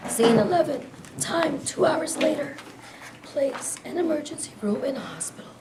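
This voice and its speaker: A woman's voice: high-pitched, lively intonation, moderate pace. A woman reading stage directions as scripted narration at a staged play reading. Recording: echoey room from afar, clear noise.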